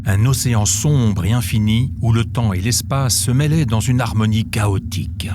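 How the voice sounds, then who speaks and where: A man's voice: mid-range voice, moderate pace, background noise, natural voice. A man acting a wry history teacher who narrates the ages in a dramatic, grandiose manner. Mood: solemn, awed. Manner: theatrical, grandiose with wry asides.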